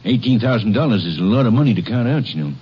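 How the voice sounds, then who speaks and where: An actor playing an anxious, hesitant mill superintendent in a 1950s radio drama. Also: male voice, mid-range voice, lively intonation, fast talker, breathy edge. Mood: uneasy. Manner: hesitant, uneasy. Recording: muffled old radio broadcast.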